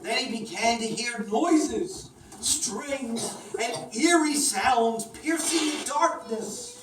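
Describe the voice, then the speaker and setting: A woman narrating a scripted children's story in a deliberate, dramatic way, as a storyteller. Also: fairly high pitch. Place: indoor room, picked up from a distance. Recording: distant echoey room, clear noise.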